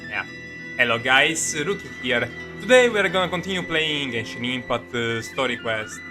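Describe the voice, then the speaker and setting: Halting Italian-accented man streaming over music. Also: medium pitch, lively intonation. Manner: halting, rambling, self-correcting delivery. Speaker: game livestreamer. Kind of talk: casual gaming stream commentary. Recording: music playing behind the voice.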